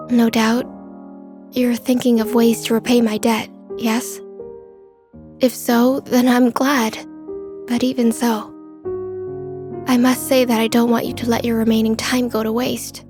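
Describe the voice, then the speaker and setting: A woman's voice: fairly high pitch, close to an everyday voice. An actress playing a polite, reserved young woman who speaks formally and with care in a manga drama dub. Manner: formal, measured.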